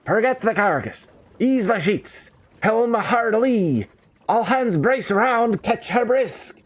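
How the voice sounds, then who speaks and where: Ship's captain played by a man: commanding, clipped, pitched high, measured and slow, fantasy storybook. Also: expressive, heavily acted. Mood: urgent commanding. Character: commanding ship's captain barking sailing orders.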